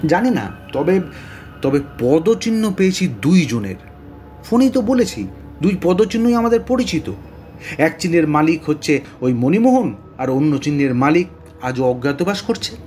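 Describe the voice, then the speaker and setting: An actor playing a talkative, excitable middle-aged police detective in an audio drama, blustery, often stammering and repeating words. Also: male voice, a little high, normal speed, faint music behind. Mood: flustered, confiding. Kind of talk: Bengali audio story acting.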